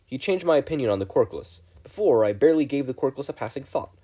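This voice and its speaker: A man's voice: medium pitch, lively intonation, quick pace, dark tone, husky, pitched above the performer's own voice. A man voicing an earnest, formal and dramatic teenage boy student in a story reading.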